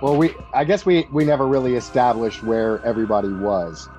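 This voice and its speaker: A man's voice: mid-range voice, a little fast, warm tone, faint music behind, poor audio. A man acting as game master, narrating tabletop combat turn by turn.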